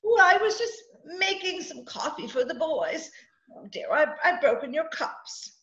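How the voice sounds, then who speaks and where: A woman's voice: sits high, big pitch swings, measured pace, a bit bright, highs cut off, exaggerated voice. A woman playing a sweet, naive older mother who chatters in a flustered, genteel, twittering way, in 1940s radio drama style.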